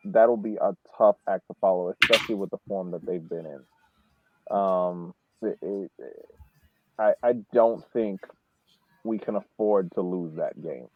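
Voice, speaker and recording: A man's voice: lowish voice, no echo. Man on a thin, phone-like line. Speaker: podcast panelist. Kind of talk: casual sports analysis. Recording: dull phone-like band-limited audio.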